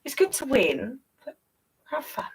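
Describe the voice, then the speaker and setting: A woman's voice: high voice, wildly swooping pitch, slightly rough, distant mic. A woman reading a picture book aloud to children, with playful, chatty asides and exclamations. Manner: playful, chatty, exclaiming, drawn-out words for emphasis. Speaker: children's story reader.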